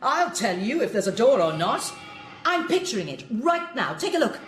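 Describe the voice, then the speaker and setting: A woman's voice: a little high, very animated, moderate pace, fairly bright tone. A woman playing a skeptical, defiant captive in a radio drama, scornful and emphatic as she fights back. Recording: echoey, with music behind.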